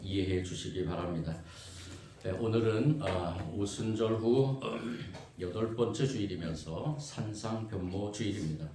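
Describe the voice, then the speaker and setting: A man preaching a sermon as pastor, hoarse from a cold, earnest and steady with frequent filler sounds. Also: on the low side, a little slow. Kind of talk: sermon with responsive scripture reading. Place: church sanctuary. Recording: echoey church room, faint noise.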